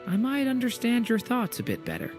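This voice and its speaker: A man's voice: very high voice, huge pitch swings, fairly quick, music in the background, exaggerated voice. An actor playing an earnest, kind young male companion who speaks hesitantly and caringly in video game dialogue. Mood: tentative, caring.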